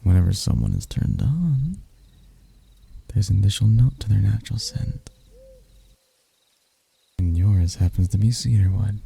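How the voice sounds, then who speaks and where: A man playing a suave, arrogant demon prince of lust in an intimate ASMR roleplay, with a gravelly, breathy voice and a smooth, taunting manner. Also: lowish voice, sing-song, warm tone, no room sound, full character voice. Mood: seductive, smug. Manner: smooth-talking, taunting, unhurried, dropping into whispers. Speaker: voice actor.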